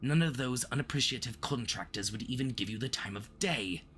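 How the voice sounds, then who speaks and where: A man's voice: mid-range voice, fairly quick, a bit bright, slight background noise, lightly acted. A man playing a smug but easily flustered, obsessive supervillain in a flirty, theatrical roleplay addressed to the listener, with awkward stammering slips. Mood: intense, sympathetic.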